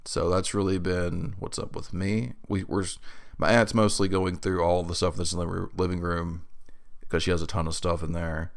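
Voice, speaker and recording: A man's voice: low voice, level tone, normal speed, gravelly. A young man hosting a podcast alone, getting over a head cold and rambling through an update with lots of fillers. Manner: rambling, with many fillers and restarts. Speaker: podcast host. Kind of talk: casual solo podcast update. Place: his room in a new apartment. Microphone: new home studio mic.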